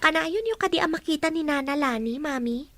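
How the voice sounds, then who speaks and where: A woman's voice: high-pitched, lively intonation, brisk, no echo. A woman acting an inquisitive, dutiful young Filipino daughter in an Ilocano audio drama, with a Filipino accent. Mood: respectful.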